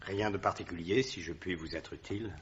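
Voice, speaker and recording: A man's voice: low voice, a little fast, slightly rough. An actor in his thirties playing a recovering young diplomat who speaks politely and earnestly in a vintage radio drama. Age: 30s. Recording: dry, dull old radio recording.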